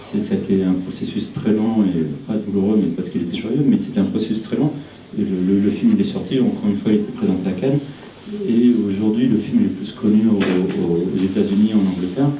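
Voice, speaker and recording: A man from Argentina, a native Spanish speaker, talks in a low, very mellow voice and stumbles through restarts as he goes. He is heard from a distance in an echoey room with faint noise behind. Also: fairly even intonation. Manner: halting, many restarts and repeated words. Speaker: film director. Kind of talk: interview conversation.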